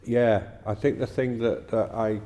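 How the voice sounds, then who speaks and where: A plain-spoken man talking haltingly in a casual discussion of faith, recorded with long reverb. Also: lowish voice, steady tone, mellow.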